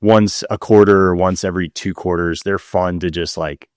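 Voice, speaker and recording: A man with a fairly low voice chats as a podcast co-host. His phrasing is loose, informal and a bit slangy, and the sound is dry and close. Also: fairly even intonation, moderate pace, darkish tone, clear, resonant tone, dry recording. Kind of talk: casual chat.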